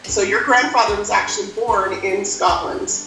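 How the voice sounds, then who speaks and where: A woman who is a genealogy expert, calmly explaining family tree findings on a call. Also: medium pitch, moderate pace, heavy reverb, music in the background, low-quality recording.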